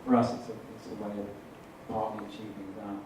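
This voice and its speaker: A man's voice: lowish voice, little pitch movement, quick pace. A man, a film director, answering audience questions at a festival screening and hesitating often. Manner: hesitant, many fillers and restarts. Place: cinema hall. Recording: echoey hall, distant mic, noisy.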